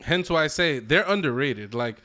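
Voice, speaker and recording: A man's voice: fairly high pitch, lively intonation, moderate pace, bright, forceful tone. A man co-hosting a podcast in casual group chat, giving emphatic opinions and swearing freely. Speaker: podcast co-host.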